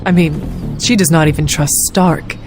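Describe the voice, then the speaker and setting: A woman's voice: deep voice, steady tone, unhurried, music in the background. A woman playing an earnest, determined young warrior leader who speaks formally and firmly in a video game. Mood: measured. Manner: formal, avoids contractions. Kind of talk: video game cutscene acting.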